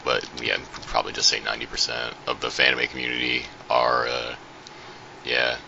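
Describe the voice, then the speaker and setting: A man with a deep, bassy voice in casual podcast chat, speaking calmly and without much animation, recorded on a home mic. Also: brisk, creaky, husky. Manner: calm, not animated. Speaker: podcast co-host, voice actor. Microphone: home USB mic. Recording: dull lo-fi backup audio.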